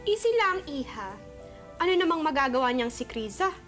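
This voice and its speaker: A woman's voice: high voice, very animated, moderate pace, a bit bright, faint music behind. A woman with a Filipino accent playing a scheming, haughty wealthy mother in an amateur radio drama.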